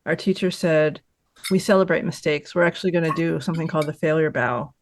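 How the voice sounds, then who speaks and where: A podcast host asking calm, reflective questions over a video call. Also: mid-range voice, fast, slight background noise. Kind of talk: casual interview chat.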